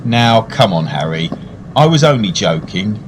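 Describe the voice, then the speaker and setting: A man playing an urbane food lover, narrating a radio tale in a measured, wry manner. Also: on the low side, sing-song, noisy background. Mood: polite, amused. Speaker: narrator and actor in a radio play. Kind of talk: dramatised first-person storytelling.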